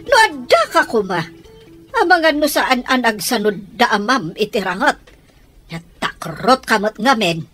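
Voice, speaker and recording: Husky woman, Ilocano Filipino accent, lively swooping pitch, playing proud vengeful mother, radio drama. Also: unhurried, soft background music, exaggerated voice. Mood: scornful, goading.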